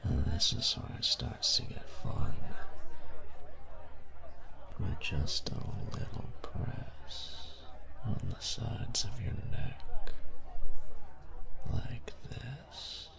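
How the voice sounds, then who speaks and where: A man playing a cocky, taunting, domineering young bully in an intimate audio roleplay, speaking in a breathy, gravelly half-whisper with slow, teasing menace. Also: lowish voice, very animated, a crowd in the background, exaggerated voice. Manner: slow, teasing, menacing delivery with drawn-out pauses.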